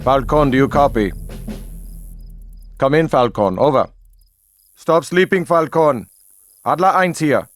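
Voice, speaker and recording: An actor playing a stern German army commander in a World War II game, with curt, commanding radio delivery. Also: male voice, a little high, lively intonation, unhurried, pauses often, faint music behind. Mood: impatient. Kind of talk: video game cutscene acting.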